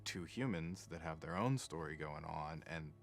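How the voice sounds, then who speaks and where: A man's voice: rumbling low, normal speed, vocal fry. A man explaining a game's story conversationally in a documentary-style interview. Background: faint music.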